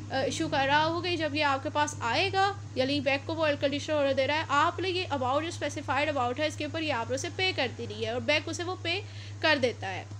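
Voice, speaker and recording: A woman's voice: sits high, a bit bright, a little hiss or hum. A woman giving a law lecture, explaining step by step and often stopping to correct herself. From Pakistan.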